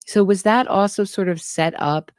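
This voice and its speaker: A woman's voice: mid-range voice, moderate pace, dry recording, clean studio sound. A woman giving skeptical, wry commentary on a true crime case and speaking straight to her audience. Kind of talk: casual commentary over interrogation clips.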